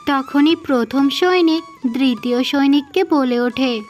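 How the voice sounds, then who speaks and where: High-pitched woman narrating fairy tales. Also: slow, a little mellow. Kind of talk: scripted fairy-tale narration. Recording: music behind the voice.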